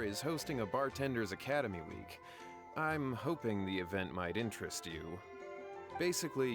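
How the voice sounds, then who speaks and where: Man voicing a polite tavern host, lower pitch, inviting, persuasive, game cutscene, clear music behind. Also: close to an everyday voice. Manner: courteous, persuasive.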